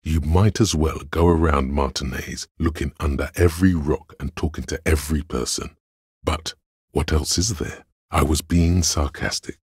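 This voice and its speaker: A man voicing a cool, sardonic inner voice of logic in a video game, with measured, deliberate, dry and analytical narration. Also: a bass voice, a little mellow, breathy edge, no room sound, full character voice.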